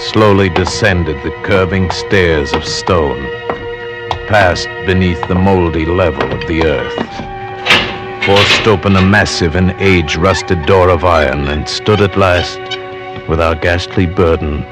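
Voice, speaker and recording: A very low-voiced, grave gentleman, telling a gothic horror tale in vintage radio drama style. He is measured, solemn and full of foreboding, with music clearly underneath. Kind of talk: classic radio drama narration and acting.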